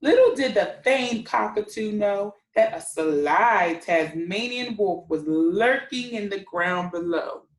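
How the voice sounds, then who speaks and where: A woman's voice: mid-range voice, animated, slow, hardly any pauses. A woman hosting a children's storytime, reading and explaining words simply in an encouraging way to young listeners. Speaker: children's read-aloud host and teacher. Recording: echoey room.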